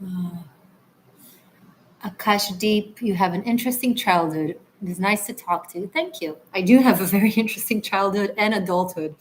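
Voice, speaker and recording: A woman's voice: medium pitch, normal speed, slight background noise, low-quality recording. A woman from Israel hosting a casual livestream, chatting loosely and answering viewers' comments with frequent restarts. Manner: relaxed, chatty, with frequent restarts and soft trailing asides. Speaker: livestream host, tarot reader.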